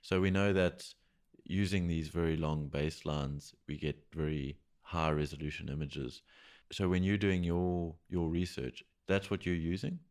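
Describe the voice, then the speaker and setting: Low-voiced guy cohosting a podcast, earnest, kinda halting, repeats words. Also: steady tone, a little mellow. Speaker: podcast co-host. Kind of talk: casual podcast chat.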